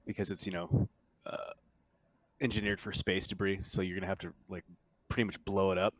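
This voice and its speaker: A man's voice: low voice, flat delivery, brisk, dry recording. A man running a tabletop roleplaying game as game master, casual and hesitant as he improvises his answers.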